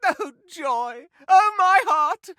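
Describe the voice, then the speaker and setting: A man's voice: very high-pitched, animated, drawn-out words, sharp tone, no echo, studio quality, exaggerated voice. A man voicing a gossipy, flamboyant candelabra dandy in a video game, with florid, campy, melodramatic delivery and affected airs. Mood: amorous, delighted. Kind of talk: theatrical video game character acting.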